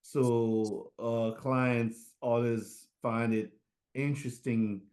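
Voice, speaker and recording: A middle-aged man from Haiti talks slowly and unhurriedly in a relaxed interview. His speech halts often, full of fillers and restarts as he feels his way through answers. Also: mid-range voice. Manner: halting, many fillers and restarts. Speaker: guest, fashion designer.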